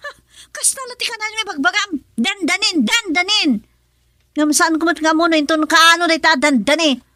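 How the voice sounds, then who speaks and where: Woman as Ilocano radio-drama wife: high, bright, nagging, dry close mic. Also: normal speed, no echo. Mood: scolding. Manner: sharp, scolding. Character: frustrated young wife scolding her drinking husband. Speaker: young wife.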